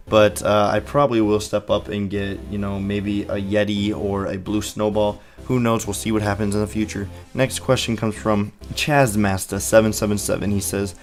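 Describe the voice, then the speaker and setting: An eighteen-year-old man hosting a casual Q and A for his gaming channel, rambling through answers with lots of fillers, on a headset mic. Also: lowish voice. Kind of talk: casual Q and A video.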